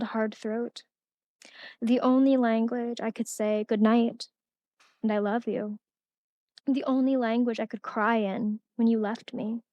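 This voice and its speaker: A woman's voice: a little high, a little mellow, no echo. A young woman poet reading her poem aloud and then giving a casual talk with many fillers at a college event.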